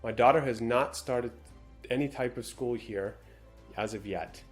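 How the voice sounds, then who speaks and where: A man's voice: mid-range voice, a little slow, soft background music. A man co-hosting a casual talk show, reading out a viewer's letter and adding dry, matter-of-fact comments between passages. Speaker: co-host.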